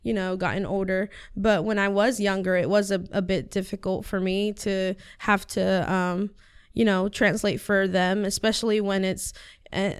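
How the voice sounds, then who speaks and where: A woman's voice: mid-range voice, clear, resonant tone. A teenage girl whose first language is Spanish, answering interview questions earnestly, with many fillers and restarts. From United States. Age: teens. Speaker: high school student guest.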